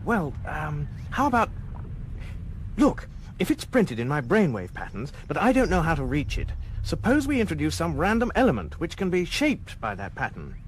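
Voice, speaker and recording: An earnest, indignant Englishman stranded in a sci-fi radio comedy. His British voice sits fairly high and leaps about with exasperation, polite even when put out. Clear noise runs behind him on the old recording. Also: normal speed, low-quality recording. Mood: excited.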